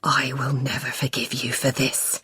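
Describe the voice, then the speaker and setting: A woman reading the part of a furious, controlling man who snarls his words slowly and with scorn. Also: fairly low pitch, lively intonation, breathy edge, dry recording. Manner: snarling, slow and menacing.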